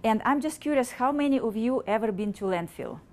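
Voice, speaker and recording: A middle-aged woman from Ukraine, speaking English with a Ukrainian accent, gives a public talk in halting, informal phrasing, using a handheld mic in a store event room before a small audience. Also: a little high, lively intonation, darkish tone. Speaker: guest speaker.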